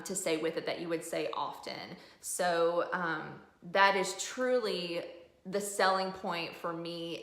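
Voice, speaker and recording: Low-pitched woman in a roomy, echoey space. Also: fairly bright tone. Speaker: homeschooling mom reviewing curriculum. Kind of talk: casual explanatory video review. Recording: roomy indoor echo.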